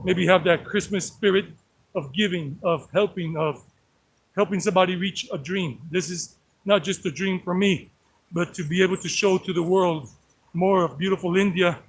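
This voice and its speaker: A man's voice: high-pitched, a little hiss or hum, rough recording. A middle-aged man, a native English speaker, chatting in a rambling, repetitive way as he live streams while walking outdoors beside a creek. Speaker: vlogger. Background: flowing creek.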